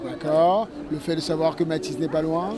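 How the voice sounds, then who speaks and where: A man's voice: fairly high pitch, steady tone, normal speed, a crowd in the background. A man interviewing people casually on location, outdoors at a festival. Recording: loud outdoor festival noise.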